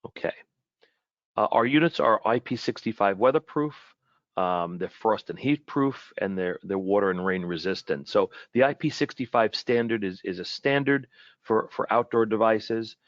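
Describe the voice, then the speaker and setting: A man giving an informal webinar product presentation in a halting way, often repeating and rephrasing his words. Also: lowish voice, no echo, highs cut off.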